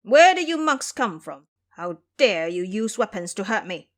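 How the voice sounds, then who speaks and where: A woman voicing a ferocious, boastful male tiger demon with loud, imperious delivery in an audio drama. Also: medium pitch, big pitch swings, measured pace. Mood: roaring, threatening.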